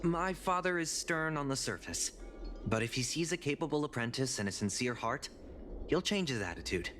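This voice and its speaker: A man's voice: mid-range voice, expressive, moderate pace, fairly bright tone, natural voice. An actor playing a helpful young man, a craftsman's grown child, coaxing confidently and casually in scripted game dialogue. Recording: game music behind the voice.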